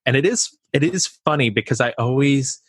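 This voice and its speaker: A man with a Midwestern American accent co-hosting a casual film podcast, talking in a halting, restarting way. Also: mid-range voice, expressive, husky, no room sound. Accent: generic Midwestern American. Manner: hesitant, lots of restarts, laughs. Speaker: podcast co-host.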